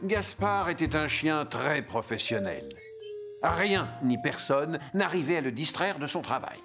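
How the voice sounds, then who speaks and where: A man's voice: a little high, sing-song, unhurried, music in the background. A man reading scripted narration for a children's cartoon.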